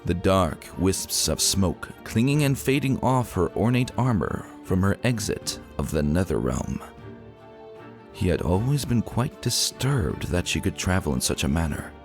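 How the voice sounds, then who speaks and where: Low voiced guy doing a dramatic fantasy audiobook, really expressive, solemn, music behind. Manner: theatrical, solemn delivery. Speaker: audiobook narrator. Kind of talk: dramatic fantasy story reading.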